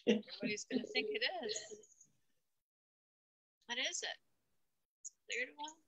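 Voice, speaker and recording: A woman's voice: fairly high pitch, unhurried, a bit bright, husky, low-quality recording. A woman making casual, exclaiming comments in reaction to a children's story. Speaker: story-time co-host reacting to the reading.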